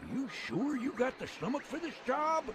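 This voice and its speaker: A folksy old-timer guide from a video game, with a rural American accent and an extremely high-pitched voice. His delivery swoops wildly and theatrically through exuberant, colloquial storytelling. The voice is creaky, with faint noise behind it. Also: very animated, moderate pace.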